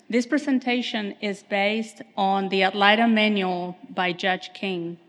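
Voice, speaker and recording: A woman attorney giving a measured, formal conference presentation in a soft voice. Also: medium pitch. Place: conference hall. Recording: reverberant hall.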